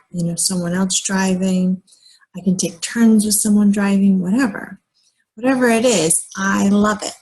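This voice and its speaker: American woman, late thirties, slow pace, slightly low husky voice, casual vlog chat, halting and rambling. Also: low-quality recording. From United States, moved often as a military child. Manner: rambling, halting, pausing mid-sentence. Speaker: vlogger. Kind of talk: casual personal vlog talk.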